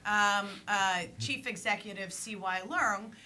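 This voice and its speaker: A woman speaking as a panelist at a policy discussion, thoughtful, pausing often with fillers and restarts. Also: mid-range voice, sharp tone, a little hiss or hum.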